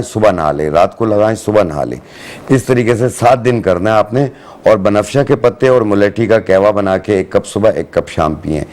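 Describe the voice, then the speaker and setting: Male hakeem, lower voice, patient instructive delivery on a morning show. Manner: calm, step-by-step instructive. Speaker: hakeem giving herbal advice. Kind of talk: studio chat, giving remedies. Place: TV studio.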